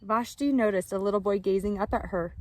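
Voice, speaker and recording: A woman teacher reading a storybook aloud with asides to children, expressive and encouraging, in simple child-directed phrasing. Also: medium pitch, a little fast, low-quality recording.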